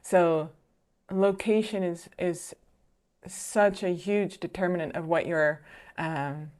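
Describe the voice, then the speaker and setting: A woman with a slightly low voice talks through a video as she watches it. She is chatty and thoughtful, pausing on fillers and restarting her sentences. It sounds like a casual reaction commentary. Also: steady tone, darkish tone. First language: Dutch. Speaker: filmmaker and reaction video host.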